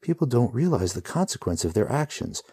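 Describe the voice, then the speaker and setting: Man reads determined heroine, lifted pitch, dark gravelly tone; earnest, pressing. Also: sing-song, normal speed, no echo, studio quality, full character voice. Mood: urgent. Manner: earnest, urgent. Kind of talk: storybook reading.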